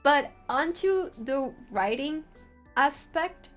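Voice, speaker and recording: A woman's voice: high voice, expressive, slow pace, fairly bright tone, soft background music. A woman talking informally as a streamer teaching a tutorial, chatty and often checking in with her listeners. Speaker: streamer teaching how to make visual novels. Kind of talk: casual livestream tutorial talk.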